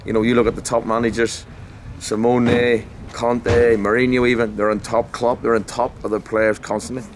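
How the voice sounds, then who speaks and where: Emphatic football manager, outdoors at night. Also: male voice, mid-range voice, little pitch movement, moderate pace. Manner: emphatic, repetitive, blunt and passionate. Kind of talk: post-match interview. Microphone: handheld mic held close. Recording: faint outdoor noise.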